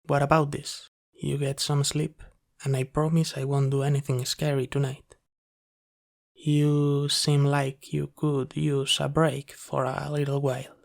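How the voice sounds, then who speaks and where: A soft, comforting demon of the night acted by a man speaks in an ASMR roleplay. His pace is very slow, with frequent pauses, and his mid-pitched voice stays level. He sounds apologetic, hesitant and reassuring, like a kindly monster at the bedside. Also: vocal fry. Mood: gentle, pleading. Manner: soft-spoken, hesitant, reassuring, pausing often. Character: gentle, apologetic, wholesome male sleep paralysis demon.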